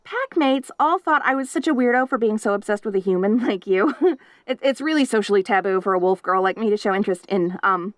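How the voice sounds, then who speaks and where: A woman's voice: medium pitch, lively intonation, a little fast, dry recording, clean studio sound, natural voice. A woman playing a giggly obsessive wolf girl. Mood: rambling. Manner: giggly, stammering, rambling and eager. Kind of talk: audio roleplay.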